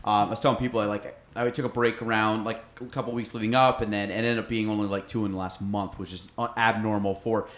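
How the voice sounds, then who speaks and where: Rambling podcast host in an echoey room. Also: male voice, lowish voice, little pitch movement, quick pace, few pauses, fairly bright tone. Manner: rambling, filler-heavy, jokey. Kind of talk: casual interview chat.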